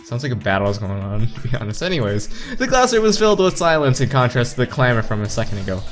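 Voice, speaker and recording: Male game narrator, very animated delivery, playful and casual, trips over words, music underneath. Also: medium pitch, fast talker. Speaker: let's player reading a visual novel aloud. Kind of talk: casual read-aloud narration with side comments. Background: visual novel background music.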